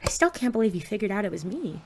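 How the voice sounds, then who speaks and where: A woman's voice: medium pitch, big pitch swings, quick pace, darkish tone, breathy edge. A woman playing a cynical, nerdy teenage secret superhero in an understated, naturalistic audio drama style. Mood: dry, teasing. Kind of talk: naturalistic audio drama acting with first-person narration.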